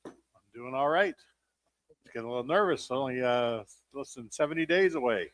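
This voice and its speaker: A man speaking as a team executive in a casual interview, explaining in halting, drawn-out phrases. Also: mid-range voice, expressive. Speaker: team general manager and president.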